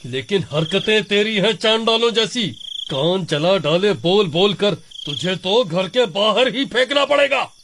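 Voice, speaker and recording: Guy acting a stern village teacher in a horror cartoon, highish voice, slow, scolding, slight noise. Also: lively intonation. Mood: angry. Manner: scolding, dramatic. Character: village schoolteacher, stern, turning hot-tempered when possessed.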